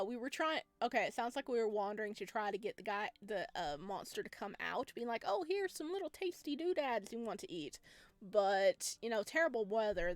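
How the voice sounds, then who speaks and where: A woman's voice: on the high side, no echo. A woman giving chatty, playful commentary on a playthrough, reading lines aloud as she goes. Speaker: game streamer. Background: game music.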